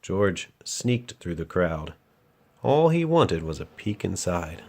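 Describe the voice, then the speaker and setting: A man's voice: sits low, very animated, slow pace, darkish tone. A man reading a children's picture book aloud as a storyteller and host.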